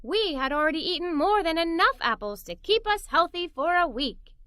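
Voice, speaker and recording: Woman, children's read-aloud narrator, high bright voice, expressive, dry studio sound. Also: normal speed, no echo. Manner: expressive, playful storytelling.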